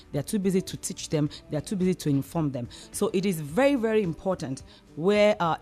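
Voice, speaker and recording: A woman's voice: on the low side, mellow. A woman with a Nigerian accent speaking as a discussion guest, explaining earnestly and repeating phrases for emphasis. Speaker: discussion guest, community advocate.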